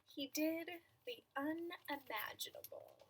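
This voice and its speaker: A woman reading a children's picture book aloud in an expressive, dramatic manner, with asides to young listeners. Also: high voice, takes it slowly, vocal fry, a little hiss or hum. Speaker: storybook reader.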